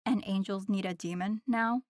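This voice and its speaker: A woman's voice: mid-range voice, steady tone, moderate pace, darkish tone, lightly acted. A woman acts out a sardonic female demon in an audio roleplay. Her mid-range voice is teasing and nonchalant. The recording is close and dry, with no room echo.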